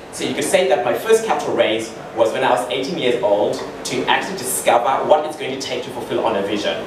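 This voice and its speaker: A young man from Zimbabwe, a lawyer, telling his story in an earnest, expressive way on stage at a startup meetup. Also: mid-range voice. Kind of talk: live stage talk with handheld mic. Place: large dark event hall, on stage. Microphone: handheld mic through PA, filmed from far. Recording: echoey hall, distant camera, noisy.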